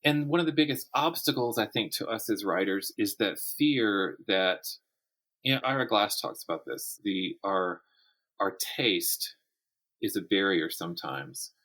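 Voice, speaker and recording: A man chatting casually as an interview guest, telling stories in animated, stop-start phrases. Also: on the low side, a little slow, low-quality recording. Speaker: podcast guest, writer and writing teacher.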